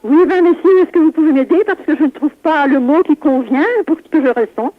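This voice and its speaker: Femme à voix très aiguë, au téléphone. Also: female voice, sits high, a little fast, no room sound. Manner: bewildered, hesitant, polite. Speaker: prank call victim. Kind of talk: casual phone conversation. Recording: dull lo-fi telephone line.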